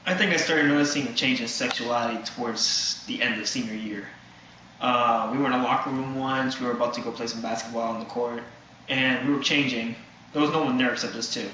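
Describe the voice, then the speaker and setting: A nineteen-year-old man casually telling a deadpan mock documentary story. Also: medium pitch, fairly quick. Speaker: student interviewee. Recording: echoey room with faint noise.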